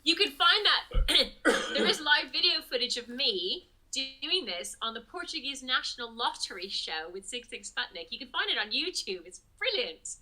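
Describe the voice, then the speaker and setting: Bright-voiced chatty woman guest. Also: high-pitched, sing-song, normal speed, rough recording. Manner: chatty, laughs often, clears throat. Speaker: musician guest. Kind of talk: casual podcast interview.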